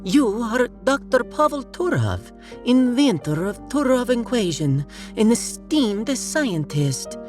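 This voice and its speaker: A man's voice: very high-pitched, very animated, slow, a little mellow, faint music behind, full character voice. A man voicing a practical, teasing female mathematician with a Russian accent and chiding manner in a sci-fi audio drama. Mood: fond chiding. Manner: chiding, no-nonsense.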